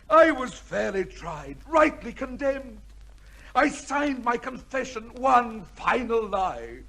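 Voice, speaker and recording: Anguished, high-voiced condemned gentleman. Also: creaky, full character voice. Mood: impassioned despair. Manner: halting, impassioned, formal theatrical delivery. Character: condemned titled gentleman, anguished, broken, rigidly devoted to law. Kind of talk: old-time radio drama acting.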